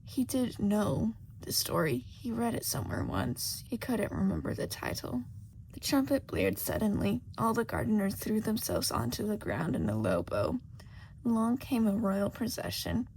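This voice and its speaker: A woman's voice: mid-range voice, huge pitch swings, normal speed, gravelly, a little mellow, poor audio. A woman reading a scripted story aloud as an amateur audiobook narrator. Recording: faint background noise.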